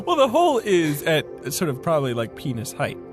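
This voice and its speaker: A man co-hosting a podcast in casual comic banter, asking halting, incredulous questions. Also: on the high side, huge pitch swings, over music. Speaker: podcast co-host.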